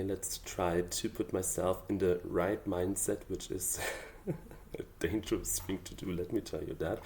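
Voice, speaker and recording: A man's voice: deep voice, a little mellow, a little hiss or hum. A man whose first language is German, talking through his draft song lyrics in a casual, hesitant and thoughtful way with many fillers. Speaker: hobby songwriter. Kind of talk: casual explanatory vlog.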